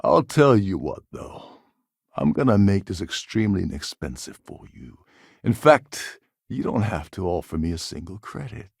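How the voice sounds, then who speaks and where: An actor playing a gruff, cynical, self-serving space pirate in a video game, with a gravelly voice and a wry, sardonic, world-weary manner. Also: male voice, low-pitched, very animated, moderate pace, a little mellow, no room sound, clean studio sound, exaggerated voice. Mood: wry, bargaining. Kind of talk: game character dialogue lines.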